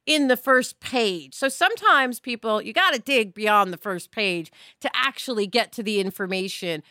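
A middle-aged woman speaks in a fairly high, very bright and forceful voice. She is blunt and emphatic, giving her opinions as a podcast host, close and dry on the recording. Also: lively intonation, normal speed, no room sound.

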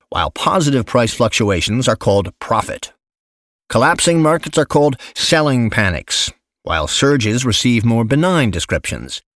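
A man reading an essay aloud as scripted narration, measured and articulate, with emphatic phrasing. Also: medium pitch, a bit bright, dry recording, studio quality. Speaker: narrator reading an investment memo.

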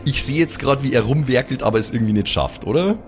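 A man improvising role-play as a tabletop player in halting, slangy, colloquial speech. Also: mid-range voice. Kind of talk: improvised in-character role-play mixed with casual table talk. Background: background music.

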